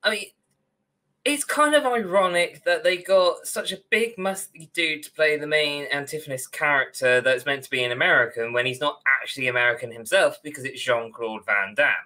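A man's voice: fairly high pitch, normal speed, pushed and bright. A young British man co-hosting a podcast in a casual, wordy, opinionated way. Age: young adult. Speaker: podcast co-host. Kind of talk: casual ranting discussion. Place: indoor room at home, on video call.

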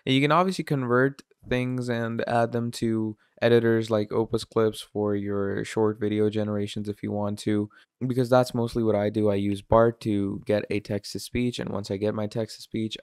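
A man giving a casual screen-recorded software tutorial, filling his talk with "obviously" and "you're gonna". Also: fairly low pitch, smooth, no echo, clean studio sound. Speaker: tutorial presenter.

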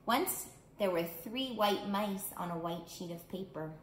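Slow-paced woman hosting storytime in echoey room. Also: mid-range voice. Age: middle-aged. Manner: simple, clear phrasing with questions to young listeners. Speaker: children's art studio teacher reading storytime. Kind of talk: picture book read-aloud for young children. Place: small indoor room. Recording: roomy indoor echo, clear background noise.

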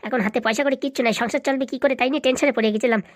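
A woman's voice: high voice, fairly even intonation, very fast, no echo, clean studio sound, lightly acted. A woman voicing a gullible, money-worried village uncle in comic cartoon dialogue, inquisitive and stammering now and then. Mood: pleased, eager.

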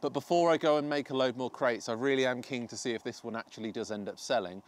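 A man's voice: medium pitch, quick pace. A British man in his thirties talking informally and self-deprecatingly as he narrates his own woodworking video outdoors. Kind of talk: casual DIY vlog commentary. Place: outdoor paved garden patio by a field.

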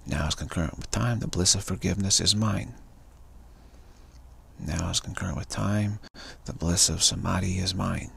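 Very low, gravelly man chanting affirmations. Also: fairly bright tone. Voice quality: gravelly, raspy voice. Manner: slow, repetitive, chanting phrases. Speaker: spiritual speaker. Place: cafe. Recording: live stream.

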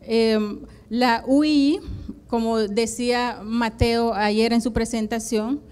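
Woman, middle-aged, smooth resonant voice, hesitant fillers, handheld mic conference talk. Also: on the high side, takes it slowly. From Atlantic coast of Nicaragua. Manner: hesitant, many "em" and "eh" fillers, steady explanatory pace. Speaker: university program coordinator, conference panelist. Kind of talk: conference presentation. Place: conference room with flags and projection screen. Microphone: handheld microphone.

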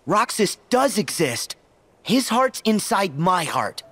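A man's voice: high-pitched, very animated, slow pace, pushed and bright, dry recording, soft background music, exaggerated voice. An actor playing an earnest, stubborn teenage boy hero in a video game, youthful and emphatic. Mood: earnest defiance. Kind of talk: video game cutscene acting.

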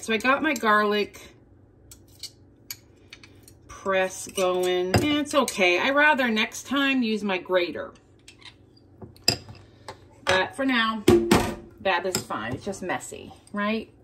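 A woman giving a casual cook-along demonstration in her home kitchen, chattily talking viewers through each step. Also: medium pitch. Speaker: home cooking vlogger. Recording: clear kitchen noise, clinking dishes.

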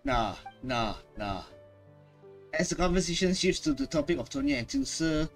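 Animated man streaming a game. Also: a little high, moderate pace, faint music behind. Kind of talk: casual game reaction commentary.

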